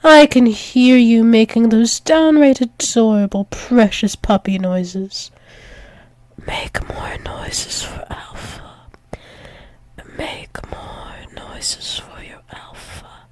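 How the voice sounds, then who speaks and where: Woman as a slow, mellow dominant alpha werewolf lover. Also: a little high. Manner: coaxing, soothing, slow, often dropping into whispers. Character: dominant, possessive, doting female alpha werewolf demon lover. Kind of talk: intimate ASMR roleplay.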